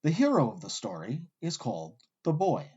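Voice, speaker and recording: A man hosting with scripted documentary narration, delivered in a wry, articulate storyteller's way with deliberate emphasis. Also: mid-range voice, wildly swooping pitch, a little mellow, slightly rough, lo-fi sound. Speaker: host and narrator.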